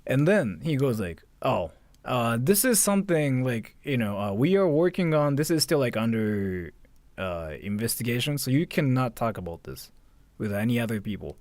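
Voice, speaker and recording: A man's voice: mid-range voice, sing-song, moderate pace, a little mellow, dry recording. A man telling a story casually on a livestream, swearing and acting out both sides of conversations. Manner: frequent "like" and repeated phrases.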